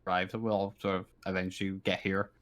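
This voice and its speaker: A man narrating a tabletop roleplaying game as game master, in a halting, improvised way. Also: low voice, flat delivery, a bit bright.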